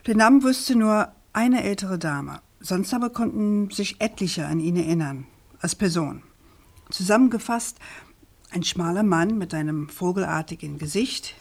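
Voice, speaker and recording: An actress playing a probing, composed woman journalist in a German radio crime drama, speaking in a measured, articulate and insistent way. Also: lowish voice. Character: persistent, probing female journalist, composed and precise.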